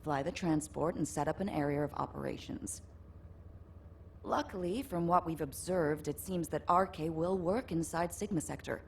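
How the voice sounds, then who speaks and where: An actress playing a composed, caring military operations officer in a video game, with a measured, serious, instructive delivery. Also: female voice, deep voice, creaky, a little hiss or hum. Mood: businesslike. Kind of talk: video game mission briefing acting.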